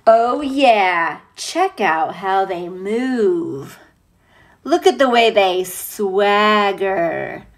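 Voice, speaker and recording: Slow-paced woman doing a children's read-aloud. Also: medium pitch, animated. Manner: playful, chatty, asks listeners questions. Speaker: teacher reading a picture book aloud. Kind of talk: children's read-aloud with casual asides to young viewers.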